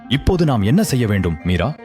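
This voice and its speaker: A man's voice: medium pitch, very animated, a little fast, darkish tone, soft background music, pitched below the performer's own voice, lightly acted. A man voicing a mild, unsuspecting village husband in a children's story. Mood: puzzled. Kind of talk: children's moral story dialogue.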